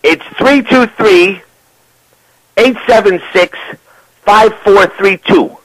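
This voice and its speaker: A man's voice: high voice, no room sound, voice pushed up. A man voicing a brash, swearing middle-aged hustler with a New York accent in a prank phone call. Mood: gruff. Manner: pushy, swearing, drawn-out delivery.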